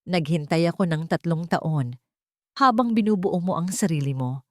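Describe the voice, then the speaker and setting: A woman voicing a serious, devoted man, a lawyer, in a measured storytelling delivery. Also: lowish voice, dry recording, clean studio sound, natural voice. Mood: tender, solemn. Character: devoted, patient male lawyer in love, grave and firm.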